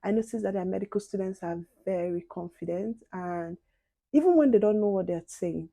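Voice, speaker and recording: A soft-spoken young woman chatting casually to viewers while doing her makeup. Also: medium pitch, moderate pace, creaky, no echo. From outside the UK. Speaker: beauty and advice vlogger.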